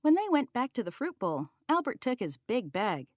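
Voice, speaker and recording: A woman's voice: fairly high pitch, very animated, normal speed, no echo, clean studio sound. A woman narrating a children's picture book as a read-aloud.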